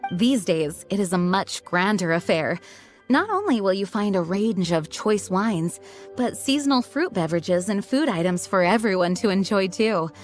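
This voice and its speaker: A woman acts a young female knight commander in an anime game. She explains things with formal composure and earnest duty, in a mid-pitched voice over faint music. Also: lively intonation, moderate pace, close to an everyday voice. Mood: courteous, informative. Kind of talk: anime video game voice acting.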